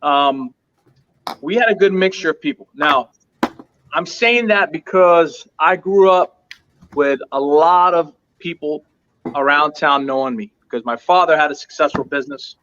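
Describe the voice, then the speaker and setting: Guest-interview read: high-pitched man, earnest and emphatic, hammering points through repetition, heard over muffled lo-fi audio. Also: measured pace, fairly bright tone. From United States. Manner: emphatic, repeats points for stress. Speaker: restaurant owner, interview guest. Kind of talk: earnest motivational storytelling.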